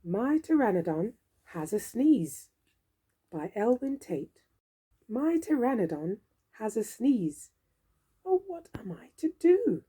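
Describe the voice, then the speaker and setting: Female storybook reader for young children: theatrical, expressive, unhurried, with a breathy, husky voice. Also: on the high side. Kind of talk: expressive children's read-aloud.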